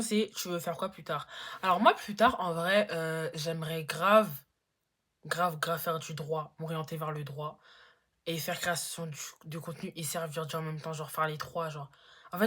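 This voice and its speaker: A woman's voice: low voice, fairly even intonation, moderate pace, fairly bright tone. A teenage girl in a casual Q&A vlog, chatty and slangy, full of fillers. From Congo-Brazzaville. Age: teens. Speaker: YouTuber.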